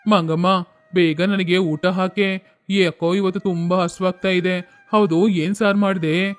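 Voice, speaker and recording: A man's voice: sits high, little pitch movement, unhurried. A man voicing an exasperated village husband and son who complains in a cartoon story, over faint music. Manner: complaining, torn between wife and mother.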